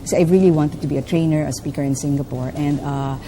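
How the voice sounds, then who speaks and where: A woman with a Filipino accent and a low, deep voice, talking as a coach in an interview. She explains fluently, with plenty of fillers and a habitual "no?" at the end of her points. There is audible background noise around her voice. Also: fairly even intonation, quick pace. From Philippines. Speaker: life coach and trainer. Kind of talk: interview answers, mixing English and Filipino.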